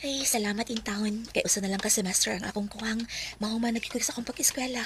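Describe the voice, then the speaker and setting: An actress playing a lovelorn young student in a Bisaya radio drama, emotional and pleading, with a Cebuano Filipino accent. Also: female voice, fairly high pitch, steady tone, fast, fairly bright tone. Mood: subdued. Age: young adult. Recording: music behind the voice.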